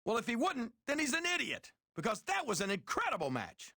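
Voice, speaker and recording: A man's voice: very high-pitched, huge pitch swings, brisk, creaky, bright, forceful tone, no room sound, studio quality, full character voice. A man voicing a brash, opinionated color commentator in a wrestling video game. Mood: argumentative.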